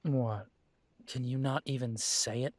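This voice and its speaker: A man voicing a young adult werewolf in an audio drama, earnest and proud, his words slow and unhurried. The close, dry sound keeps his mid-range voice right up front. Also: medium pitch, steady tone, no echo. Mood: insistent. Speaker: audio drama creator and lead voice.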